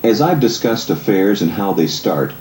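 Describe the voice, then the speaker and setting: A man with a deep, mellow voice narrates an audiobook from a script. His delivery is measured and articulate, explaining each point clearly. The recording has a roomy echo around the voice. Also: sing-song, a little hiss or hum.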